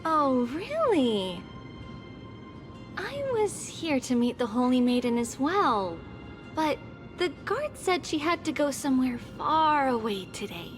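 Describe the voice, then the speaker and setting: A woman playing a gentle, kindly, reserved young maiden in a video game. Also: high voice, sing-song, takes it slowly, full character voice. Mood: gentle, amused. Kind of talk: game voice acting. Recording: music behind the voice.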